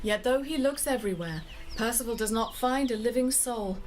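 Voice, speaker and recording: A woman narrating a scripted story in a measured way, addressing the listener directly. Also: fairly high pitch, faint music behind.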